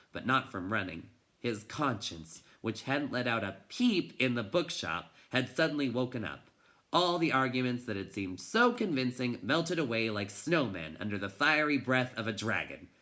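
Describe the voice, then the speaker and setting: A man reading a children's novel aloud in an expressive, dramatic way, moving between hushed and intense delivery. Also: medium pitch. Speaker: teacher reading a storybook aloud.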